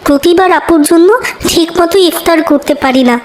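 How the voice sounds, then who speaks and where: A woman's voice: very high-pitched, fairly even intonation, moderate pace, a little mellow, a little hiss or hum. A woman voicing a cheeky, indignant little boy who tattles and taunts in a children's moral cartoon. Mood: indignant, mocking. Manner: taunting, complaining.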